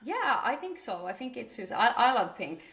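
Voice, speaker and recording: A woman's voice: a little high, big pitch swings, normal speed, a loud crowd. A woman with a Finnish accent co-hosts a casual podcast, chatting and joking as she goes. She trips over words now and then and talks through a video-call setup. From Finland. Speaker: podcast co-host.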